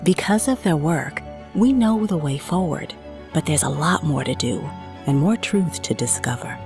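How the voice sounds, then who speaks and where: Low, solemn woman narrating over soft music. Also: expressive, gravelly. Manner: slow, solemn, earnest delivery. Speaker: video narrator. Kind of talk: scripted inspirational narration.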